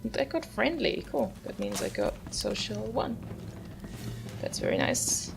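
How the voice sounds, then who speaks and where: A woman's voice: mid-range voice, wildly swooping pitch, measured pace, gravelly, over music. A woman giving casual, rambling gameplay commentary with a wry manner. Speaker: gamer narrating her play in a video series.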